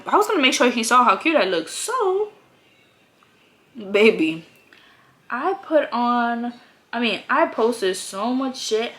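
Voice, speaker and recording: A woman's voice: mid-range voice, a bit bright, a little hiss or hum. Chatty young woman with a babyish voice. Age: young adult. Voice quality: slightly babyish voice. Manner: chatty and candid, swears freely, many fillers. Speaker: vlogger. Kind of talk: casual get-ready-with-me storytime. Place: home, doing makeup.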